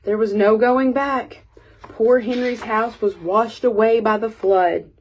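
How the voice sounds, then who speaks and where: A woman reading a children's picture book aloud as an expressive storyteller. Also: a little high, slow pace, a little hiss or hum, rough recording.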